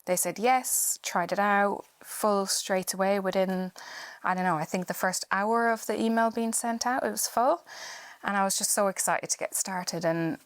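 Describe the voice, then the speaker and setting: A woman's voice: mid-range voice, steady tone, moderate pace, no room sound. A woman in her thirties from Ireland with an Irish accent, chatting as a podcast guest about teaching sewing, with many ums and restarts. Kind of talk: casual podcast chat.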